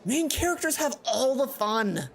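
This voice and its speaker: Male performer, bubbly teen girl, raised pitch, exclaiming, game character. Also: sing-song, measured pace, gravelly, soft background music, full character voice. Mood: mock angry. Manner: exclaiming, gushing. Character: excitable, bubbly teenage schoolgirl.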